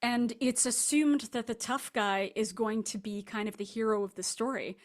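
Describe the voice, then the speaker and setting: A woman speaking as an author guest in a casual, reflective interview, animated and thinking aloud as she explains her writing. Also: on the high side, moderate pace. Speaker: author guest discussing her short story.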